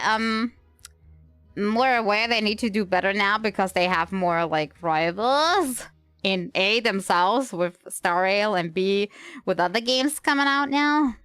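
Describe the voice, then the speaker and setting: A woman's voice: medium pitch, a bit bright, clear, resonant tone, dry recording. A woman livestreamer giving casual, wry commentary on a video game, thinking aloud, with game music behind her.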